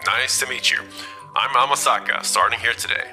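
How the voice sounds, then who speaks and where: A man's voice: medium pitch, brisk, bright, forceful tone, faint music behind. A man playing a scheming, self-important office manager with pushy corporate formality in a manga dub. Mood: smug, pushy. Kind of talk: scripted manga dub acting.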